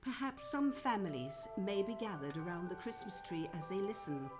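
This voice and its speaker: A woman's voice: medium pitch, big pitch swings, moderate pace, music in the background. A woman announcing and narrating in a theatrical, formal old-time radio show style.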